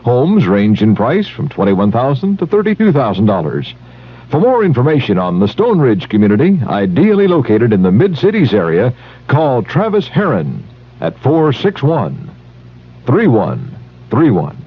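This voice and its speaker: An American man reads scripted ad details as an announcer. His husky voice moves widely in pitch, and the old radio sound is dull. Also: huge pitch swings, moderate pace. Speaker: commercial announcer.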